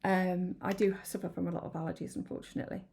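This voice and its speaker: Woman, craft tutorial, croaky, gravelly, chatty, rambling. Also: fairly low pitch, flat delivery, fast talker, noisy background. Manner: chatty, rambling, apologetic, with many ums. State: slightly croaky from hay fever. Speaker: card-making demonstrator. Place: home craft room.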